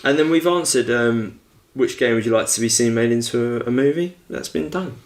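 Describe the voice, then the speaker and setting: A man's voice: mid-range voice, a little fast. A man co-hosting a podcast with casual, jokey, self-deprecating banter. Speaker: podcast co-host. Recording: echoey room sound.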